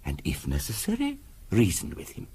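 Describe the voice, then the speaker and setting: An actor playing an earnest, formal spiritualist medium in a 1970s radio drama, measured and insistent in delivery. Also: male voice, deep voice, huge pitch swings, creaky, a little hiss or hum, full character voice. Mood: stern, earnest. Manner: measured, formal, insistent, sometimes hushed and hypnotic.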